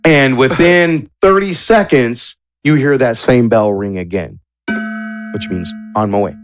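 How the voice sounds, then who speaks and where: A man's voice: medium pitch, measured pace, no room sound, soft background music. A man narrating a tabletop roleplaying game as game master in a casual, playful, improvised way.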